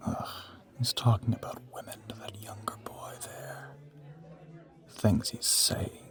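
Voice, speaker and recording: Man voicing a slow, whispering, teasing ancient vampire. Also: mid-range voice, natural voice. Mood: disdainful, menacing. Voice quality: breathy, whispery. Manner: slow, teasing, condescending, formal diction. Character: ancient teasing male vampire, suave, menacing, possessive. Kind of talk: intimate romantic audio roleplay.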